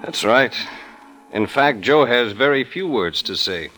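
A man's voice: medium pitch, lively intonation, unhurried, sharp tone. An actor playing a weary, suspicious police detective in his thirties, clipped and insinuating, in 1950s hardboiled radio style. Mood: insinuating, dry. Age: 30s. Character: weary, suspicious 37-year-old New York police detective. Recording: old radio drama recording.

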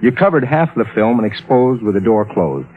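A rational lawyer in a 1940s radio drama, with a lowish voice that swings widely in pitch. He speaks in a measured, earnest, skeptical way, like a careful witness. The recording is thin and tinny. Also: very animated. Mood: skeptical, pressing. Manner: measured, earnest storytelling, pressing in argument. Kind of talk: 1940s-50s radio drama acting and narration. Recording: tinny old radio recording, music behind.

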